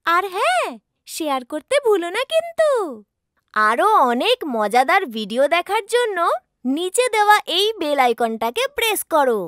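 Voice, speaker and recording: A woman's voice: very high voice, sing-song, moderate pace, a bit bright, no room sound, studio quality. A woman host giving a cheerful, sing-song closing announcement for a kids' channel. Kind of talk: outro announcement.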